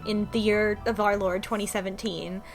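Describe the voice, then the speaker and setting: A woman's voice: mid-range voice, fairly even intonation, moderate pace, faint music behind. A woman hosting a podcast, recapping a game story in an informal, conversational way. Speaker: podcast host. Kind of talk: casual discussion and recap of a video game story.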